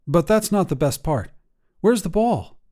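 A man voicing a playful, know-it-all partner who teases with barely held-back laughter, in a higher, brighter voice than his narration. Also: very animated, unhurried. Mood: teasing. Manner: teasing, amused, lightly condescending.

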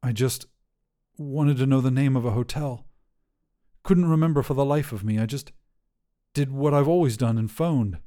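Narrator as grieving, bewildered man, soft-edged voice. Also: medium pitch, natural voice. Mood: awkward, reassuring. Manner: halting, earnest, blurting.

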